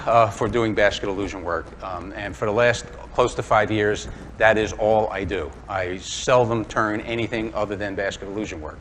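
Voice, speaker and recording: Chatty older New York man with a low voice. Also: a bit bright, a little hiss or hum. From Brooklyn, New York. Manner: chatty, self-deprecating, many ums and uhs. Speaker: woodturning demonstrator. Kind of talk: live demonstration talk to a club. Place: workshop or club room with an audience.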